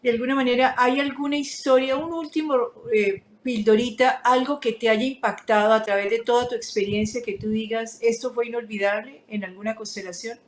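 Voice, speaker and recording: A woman's voice: fairly high pitch, fairly even intonation, fairly quick, hardly any pauses, poor audio. A woman hosting an interview, asking her guest curious, open questions. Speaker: host.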